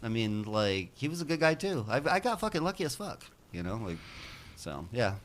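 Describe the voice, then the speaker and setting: A man's voice: mid-range voice, big pitch swings, normal speed. A man in his fifties chatting casually on a podcast, rambling and swearing freely as he tells stories. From United States. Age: 50s. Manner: loose, rambling, profane storytelling. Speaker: podcast guest. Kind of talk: casual chat.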